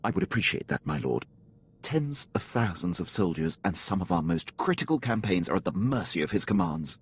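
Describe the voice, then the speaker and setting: An actor playing a dutiful, formal military officer in a video game, deferential and precise, with clipped phrasing. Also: male voice, low voice, very animated, brisk, husky, heavily acted. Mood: restrained concern. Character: dutiful, formal male imperial military officer, loyal subordinate. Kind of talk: video game character acting.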